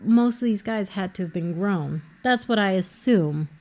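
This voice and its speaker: Chatty young woman, lively storyteller. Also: medium pitch, moderate pace. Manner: animated storyteller, laughs often, says "like" a lot. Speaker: co-host. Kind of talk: casual film commentary chat.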